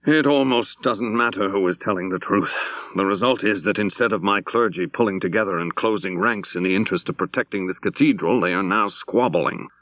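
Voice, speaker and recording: A careful, troubled male bishop, played by a male narrator speaks in a lowish voice with a dry, close sound. His phrasing is formal, deliberate and a little hesitant, as in an audiobook mystery. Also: a bit bright, no echo, close to an everyday voice. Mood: troubled, uneasy.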